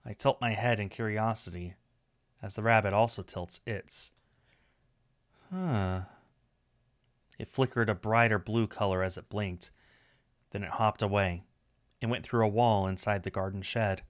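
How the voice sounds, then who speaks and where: Man voicing a low-pitched, timid rabbit-eared student. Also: steady tone, a little slow, long pauses, no echo, clean studio sound, lightly acted. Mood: curious. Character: shy rabbit-eared student narrator, anxious and curious.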